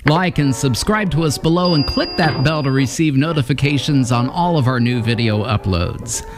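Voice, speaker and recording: A man's voice: mid-range voice, lively intonation, moderate pace. Male host, 50s, scripted intro, studio mic, music bed. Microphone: studio mic on arm.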